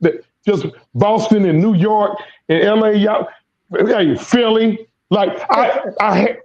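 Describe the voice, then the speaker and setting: A man's voice: high voice, takes it slowly, a little mellow, highs cut off. A man who is losing his voice, hosting casual sports talk and rambling with lots of repeated words. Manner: rambling, repeats words, Southern American slang. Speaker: sports podcast host.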